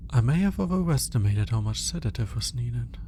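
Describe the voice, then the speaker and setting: A man playing a cold, condescending alien scientist in an ASMR roleplay, speaking in a clinical, formal, deliberate way. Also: medium pitch, expressive, mellow, slight background noise, natural voice. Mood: clinical, musing. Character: cold, aloof male alien scientist studying a human captive.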